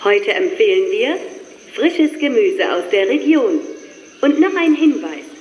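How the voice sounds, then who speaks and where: A woman reading scripted shop announcements in a lively, sing-song manner. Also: on the high side, unhurried. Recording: echoey, hall-like, dull lo-fi sound.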